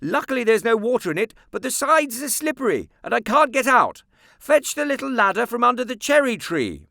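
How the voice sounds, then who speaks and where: A strict, exasperated storybook aunt, pitched far above a normal male voice. The voice is shrill and loud, swooping as she scolds and orders. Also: very animated, brisk, vocal fry, no echo, studio quality, heavily acted. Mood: impatient, commanding. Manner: shouting, commanding, exasperated. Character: strict, shrill, screaming, impatient middle-aged aunt.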